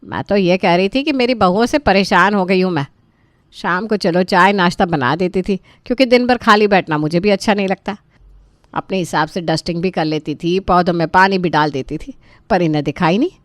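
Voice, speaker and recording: A woman's voice: fairly low pitch, expressive, moderate pace, dry recording, lightly acted. A woman voicing a weary elderly mother-in-law in a cartoon story, grumbling with dramatic self-pity. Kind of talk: animated moral story dialogue.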